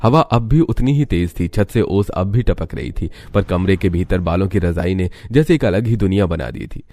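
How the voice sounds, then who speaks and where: A man's voice: low-pitched, animated, moderate pace, dark tone. A man narrating a scripted cartoon story in a dramatic storytelling style.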